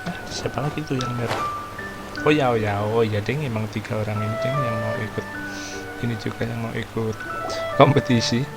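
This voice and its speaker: An Indonesian man chats over gameplay in an offhand, slangy way. His mid-pitched voice has a gravelly creak, and game music plays clearly behind it. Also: fairly even intonation, fast talker, rough recording. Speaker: gaming streamer. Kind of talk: casual gameplay commentary.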